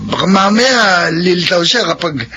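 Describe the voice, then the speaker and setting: A man's voice: high-pitched, expressive, normal speed, bright, forceful tone, breathy edge, exaggerated voice. A man with a Filipino accent playing a shifty, goading thug sidekick in a radio drama, casual and eager. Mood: scheming, casual. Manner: casual, egging on his partner. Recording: muffled lo-fi radio sound, noisy.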